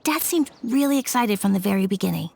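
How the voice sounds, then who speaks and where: A woman voicing a shy, earnest young boy who speaks softly and hesitantly in a game. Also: medium pitch, lively intonation, quick pace, vocal fry, faint music behind. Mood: wistful. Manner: soft, hesitant storytelling. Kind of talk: game voice acting.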